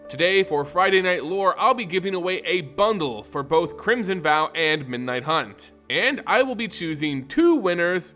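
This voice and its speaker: Bright, animated male narrator over faint music. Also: on the high side. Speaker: host of a fantasy lore channel. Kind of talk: scripted narration and commentary.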